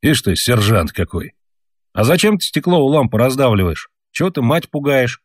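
A man voicing a bitter, wounded soldier father who reproaches his family and shouts at them. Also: mid-range voice, sing-song, normal speed, no echo, studio quality, pitched above the performer's own voice. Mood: angry.

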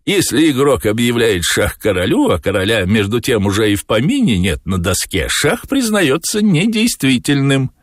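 A man's voice: mid-range voice, sing-song, moderate pace, hardly any pauses, no room sound, studio quality, voice pushed up. A man voicing a theatrical talking tomcat in an audiobook, a wheedling chess cheat full of fussy, falsely anxious indignation. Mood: pleading, indignant. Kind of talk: audiobook character voice.

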